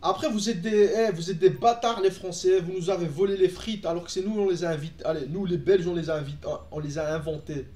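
High-voiced chatty Belgian man. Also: fairly even intonation, fast talker, hardly any pauses, mellow, echoey room. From Belgium, Antwerp. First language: Dutch. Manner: chatty, answers chat, frequent fillers and restarts. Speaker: gaming streamer. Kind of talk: casual live-stream chat while playing. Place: room at home, indoors.

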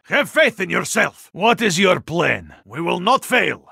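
Video game hero: hulking Russian soldier, terse and formal, Russian accent, husky forceful delivery with huge swings in pitch. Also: male voice, sing-song, no echo, clean studio sound, exaggerated voice. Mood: brooding, measured. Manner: terse, formal, deliberate phrasing. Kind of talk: video game character voice lines.

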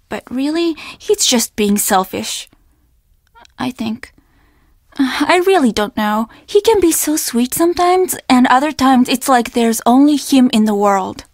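A young woman who runs a Japanese inn in a video game. Her voice sits high and is recorded very dry. She is earnest and formally polite, apologetic, and carries her troubles with her. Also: expressive, unhurried, darkish tone, no room sound. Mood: wistful, troubled. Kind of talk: video game character dialogue.

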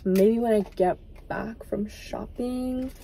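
A young woman talks in an unhurried, easygoing way, her voice soft and mellow with lots of ums and likes. She films herself from inside a parked car on a dashboard phone. A faint hiss sits under her words. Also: on the high side, gravelly, poor audio. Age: young adult. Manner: relaxed, filler-heavy, trailing off. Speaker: vlogger. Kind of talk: casual vlog chat.

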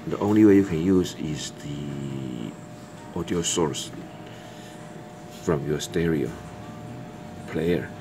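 A man with a low voice walks through a product in a casual hands-on demo. His explaining is halting and repetitive, and clear noise runs behind him in the indoor recording. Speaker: product demonstrator. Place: indoors at a desk with electronics. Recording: clear background room noise.

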